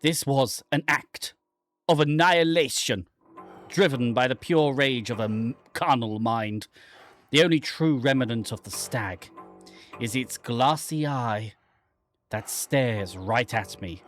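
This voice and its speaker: A man acts out a haunted, guilt-ridden man alone in the wild, telling his horror game tale in a dramatic, literary way. The voice is medium in pitch, with music underneath. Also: takes it slowly, a bit bright. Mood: grave. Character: anguished guilt-ridden man fleeing into the wilderness, brooding. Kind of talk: dramatic reading of a horror visual novel.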